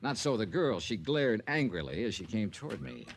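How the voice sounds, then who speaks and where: A man's voice: on the low side, big pitch swings, normal speed, slight background noise. A man playing a wry, easygoing insurance investigator who narrates his own case in an old-time radio detective drama. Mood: wry narration. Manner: wry, dry wisecracks. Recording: vintage radio recording with faint music.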